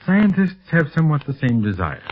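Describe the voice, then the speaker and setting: An actor playing a suave, secretly criminal scientist with a formal, condescending manner in a 1930s radio serial. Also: male voice, on the high side, big pitch swings, fairly quick. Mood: smooth, boastful. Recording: crackly, narrow-band 1930s radio recording.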